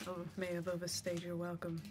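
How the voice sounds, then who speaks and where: A young woman playing an uneasy, politely pushy rural woman in a soft-spoken, hesitant ASMR roleplay. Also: on the low side, monotone, a little fast, noisy background, natural voice. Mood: hushed concern. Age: young adult. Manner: hushed, hesitant, murmuring. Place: dim indoor room. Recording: close recording with music behind.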